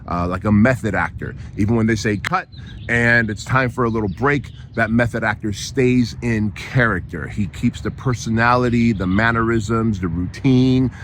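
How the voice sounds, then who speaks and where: Man, hoarse, lowish voice, emphatic motivational podcast host, repeats himself, faint background hiss. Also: normal speed, fairly bright tone. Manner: emphatic, repeats phrases, frequent tag "right?". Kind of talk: motivational monologue.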